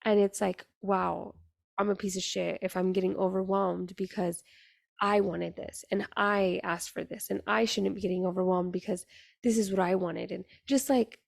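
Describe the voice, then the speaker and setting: A young woman hosting her podcast, talking in a raspy voice with an earnest, chatty manner full of fillers. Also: medium pitch, fairly even intonation, normal speed, dry recording, clean studio sound. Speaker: podcast host. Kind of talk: casual solo podcast monologue.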